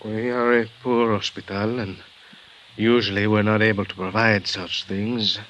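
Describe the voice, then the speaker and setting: An actor playing a grave, formal Mexican hospital doctor, speaking in a measured, halting way in a 1950s radio drama. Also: male voice, lowish voice, level tone, fairly bright tone, lo-fi sound. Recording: old radio broadcast with faint hiss.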